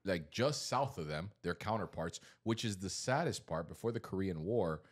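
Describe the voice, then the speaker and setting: A man's voice: low-pitched, expressive, moderate pace. A man co-hosting a podcast in casual slangy chat, laughing often and explaining things at length. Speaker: podcast co-host.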